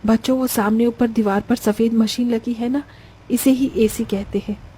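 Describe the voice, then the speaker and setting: A woman playing a kindly, poor village grandmother who explains gently and patiently in a cartoon story. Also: on the high side, steady tone, moderate pace, breathy edge. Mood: gentle.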